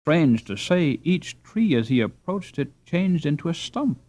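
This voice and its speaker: A man reading a classic children's novel aloud as a slow, expressive audiobook narrator. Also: fairly high pitch. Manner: slow, expressive, lively intonation. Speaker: audiobook reader. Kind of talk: scripted storybook narration.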